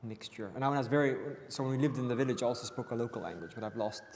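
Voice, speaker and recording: A man, an interview guest, talking about his path into physics in a relaxed, matter-of-fact way. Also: medium pitch, very fast, in a large hall, a little hiss or hum. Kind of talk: conversational interview.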